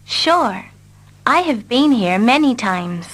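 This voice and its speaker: A woman repeating short English dialogue lines slowly and carefully for learners. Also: fairly high pitch, big pitch swings. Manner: carefully repeats each line. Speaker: English teacher. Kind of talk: slow scripted reading for learners.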